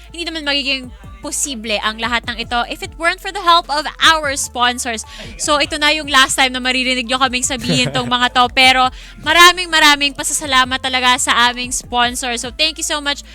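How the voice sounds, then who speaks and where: Woman, Filipino accent, high pitch, piercingly bright tone, upbeat esports host, language-switching. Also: a little fast. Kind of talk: live esports post-match hosting, switching between two languages. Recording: music behind the voice.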